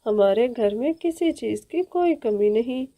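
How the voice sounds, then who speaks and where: A courteous elder aunt bird voiced by a woman, high in pitch and mellow in tone. She talks slowly and properly, like a proud matchmaker in a cartoon. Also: animated. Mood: polite, proud. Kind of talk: Hindi children's cartoon dialogue.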